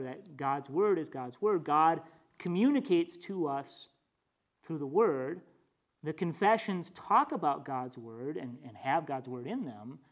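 An American man with a fairly high voice leads a small Bible study. He teaches conversationally, shifting between reading texts aloud and explaining them in plain, informal words.

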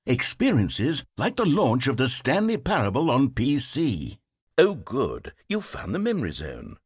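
A man's voice: medium pitch, wildly swooping pitch, measured pace, husky, no echo, exaggerated voice. A man playing a pompous, self-important video game narrator who is easily rattled, with a theatrical delivery. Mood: smug. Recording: dry studio recording.

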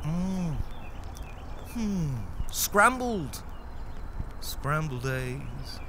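A man's voice: on the high side, very animated, drawn-out words, noisy background, exaggerated voice. An actor with a British accent playing a dreamy, polite young male pop musician in a comedy radio play.

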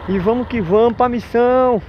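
High-voiced chatty motovlogger. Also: male voice, normal speed. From Brazil. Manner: chatty, upbeat, joking. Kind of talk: casual vlog narration while riding. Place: outdoors on city streets by motorcycle. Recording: dull lo-fi with faint street noise.

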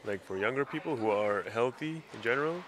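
A man's voice: medium pitch, lively intonation, brisk, a bit bright, poor audio. Brief: twenties student man from Germany, loose and joking, light room noise behind. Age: 20s. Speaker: student interviewee. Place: indoor university lounge booth. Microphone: handheld interviewer mic.

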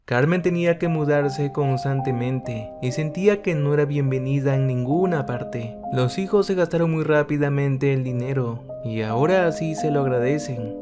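Guy reading out a sad family story, middle pitch, steady and even, quiet guitar playing under it. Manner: even, steady reading that speaks every character's lines in the same voice. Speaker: narrator. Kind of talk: scripted storytelling narration. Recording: faint guitar music behind. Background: soft guitar music.

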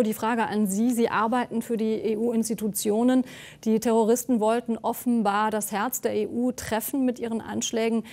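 Woman news presenter, standard German, soft-toned. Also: a little high. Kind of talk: live news interviewing. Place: TV news studio.

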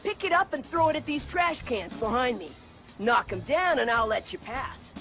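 A woman's voice: a little high, big pitch swings, normal speed, a bit bright, faint music behind. A woman voicing a tough, taunting bully boy in a cartoon video game, mocking and smug.

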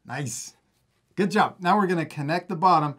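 A man's voice: a little high, normal speed, fairly bright tone, husky. A man in his thirties hosts a children's drawing lesson. He talks casually, step by step, with an encouraging manner and frequent chuckles. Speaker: drawing instructor and host. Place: indoor studio, at a table.

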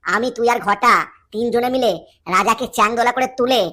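A woman's voice: a little high, steady tone, a little fast, sharp tone. A woman voicing a scheming, power-hungry male minister in a comic cartoon, with a bossy, scornful manner. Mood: scheming, impatient.

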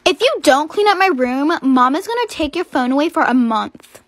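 A woman's voice: high-pitched, animated, moderate pace, no room sound. A woman voicing a spoiled, bossy teenage stepsister with a dismissive, whiny manner.

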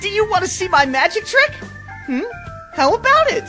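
A woman voicing an excitable, boastful cartoon bear in a video game, squeaky and showy. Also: moderate pace, faint music behind, exaggerated voice, rough recording. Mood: excited.

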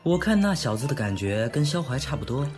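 A man's voice: mid-range voice, sing-song, brisk, darkish tone, soft background music, lightly acted. A young man acting in an audio drama as a blunt, sardonic best friend who probes and teases. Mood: sardonic, teasing.

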